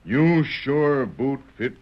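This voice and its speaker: Tonto, slow, stoic loyal companion. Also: male voice, mid-range voice, expressive. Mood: stoic, concerned. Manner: terse, halting broken English. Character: loyal, stoic Native companion of a masked lawman. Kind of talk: 1940s radio western acting. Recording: muffled, hissy old radio recording.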